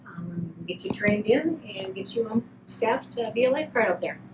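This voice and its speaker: Woman, pool staff in an interview, friendly, lots of ums, mid pitch, roomy echo, ambience behind. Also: animated, a little fast, background noise. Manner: friendly, many "um" fillers. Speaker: community pool staff recruiting lifeguards. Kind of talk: casual interview answers. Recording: echoey with background ambience.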